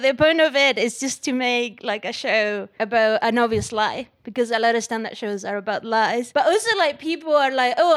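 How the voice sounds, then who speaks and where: A young woman, a non-native English speaker, chatting casually as a self-deprecating comedian guest, giggling through her words. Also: a little high. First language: not English, grew up outside the UK. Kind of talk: casual interview chat.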